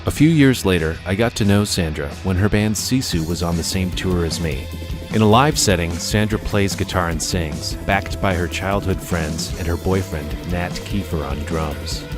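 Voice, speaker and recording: A man hosting a music podcast, reading a scripted intro and then asking measured questions. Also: low-pitched. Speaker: podcast host. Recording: music bed under intro.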